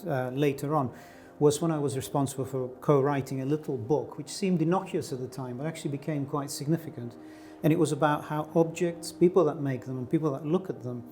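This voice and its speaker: A man's voice: fairly high pitch, darkish tone. Thoughtful middle-aged man, light music under. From Greece. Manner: thoughtful, searching, with many fillers and restarts. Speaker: artist guest. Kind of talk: reflective interview answers.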